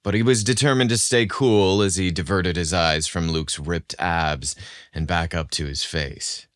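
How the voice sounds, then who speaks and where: Male narrator for romance audio fiction, notably low register, dry sound. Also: steady tone, a little slow, fairly bright tone, dry recording. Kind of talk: scripted romance story narration.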